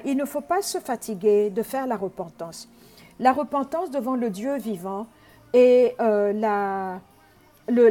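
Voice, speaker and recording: Earnest woman preacher, mellow voice. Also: a little high. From Congo. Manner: earnest teaching tone, frequent hesitations. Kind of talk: sermon.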